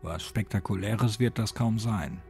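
A man's voice: on the low side, a little slow, creaky, a little mellow, faint music behind. A man voicing a blunt, slangy young village man in an audio horror story. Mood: skeptical, dismissive. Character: skeptical young village man, dismissive, turning panicked.

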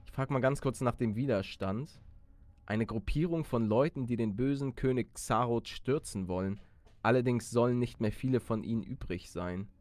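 Animated young man, close dry sound. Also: mid-range voice, moderate pace, no room sound. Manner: excitable, joking. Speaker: let's player. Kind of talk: gaming commentary with casual chat.

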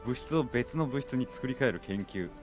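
A man's voice: mid-range voice, animated, moderate pace. A man gaming and chatting casually with a co-player as a streamer, teasing with dry retorts. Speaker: game streamer. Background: game music.

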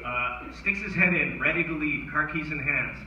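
A man's voice: on the high side, moderate pace, lo-fi sound. A man reading stage directions aloud at a live table read, with asides. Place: cinema stage before an audience. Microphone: stage mic, captured from far in audience. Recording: very echoey theatre, distant, loud noise.

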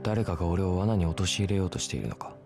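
An actor playing a hot-headed young underground fighter, brooding and defiant, with terse, rough talk in a game cutscene. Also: male voice, low-pitched, expressive, fairly quick, over music. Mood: tense, determined. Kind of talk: dramatic Japanese game cutscene acting.

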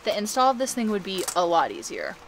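A woman's voice: medium pitch, big pitch swings, a little fast, a little hiss or hum. A woman in her thirties chatting cheerfully to a close handheld camera about a home DIY project. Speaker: DIY home-build vlogger. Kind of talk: casual vlog talk to camera. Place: attic and garage shop. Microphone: handheld action camera close up.

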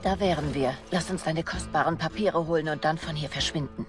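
A woman acting a low-voiced, secretive female spy. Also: brisk, music in the background, natural voice. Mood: cool, reassuring. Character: smooth, confident, secretive female spy and lover. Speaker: voice actress in a video game. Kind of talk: German fantasy game dubbing.

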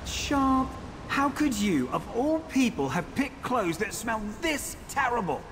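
Fussy, high-pitched pampered elf. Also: male voice, very animated, slow pace, noisy background, exaggerated voice. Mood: exasperated. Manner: prissy, theatrical complaining. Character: pampered, fussy, indignant male elf courier on the run.

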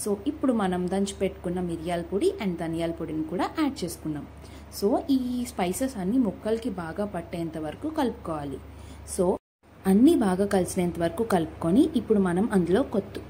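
A woman's voice: lowish voice, expressive, fast, mellow, a little hiss or hum, poor audio. Telugu-accented woman narrating a home recipe. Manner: friendly, mixes English words into Telugu, talks to viewers. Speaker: cooking vlogger.

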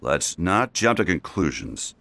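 Deep-voiced, commanding space ranger toy. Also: male voice, sing-song, unhurried, gravelly, bright, forceful tone, full character voice. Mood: wary. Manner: formal, commanding. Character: wary, heroic space ranger action-figure toy. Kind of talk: animated video game dialogue.

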